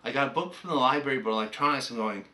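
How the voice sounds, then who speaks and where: A man's voice: medium pitch, racing, sharp tone. An older man with a Canadian accent, chatting casually and anecdotally as he reminisces like a hobbyist presenting on a vlog.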